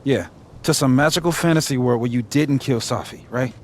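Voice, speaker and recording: A man's voice: medium pitch, fairly quick, a little hiss or hum, close to an everyday voice. A man playing a grieving, skeptical young man in a video game drama, with a guarded, wry delivery that can turn emphatic. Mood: bitter, impatient.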